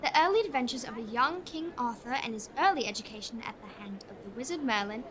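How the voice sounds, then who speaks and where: A woman's voice: a little high, expressive, quick pace, slight background noise, natural voice. A woman playing a cheeky, impulsive young pickpocket in a comic audio drama, playful and theatrical. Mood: eager announcing. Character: Ruby, cheeky, impulsive young female pickpocket, barely literate.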